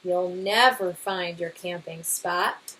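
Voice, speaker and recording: A woman's voice: fairly low pitch, steady tone, slow, pushed and bright, pitched below the performer's own voice, rough recording. A woman voicing a boastful, bumbling father bear in a storybook read-aloud, with an emphatic manner.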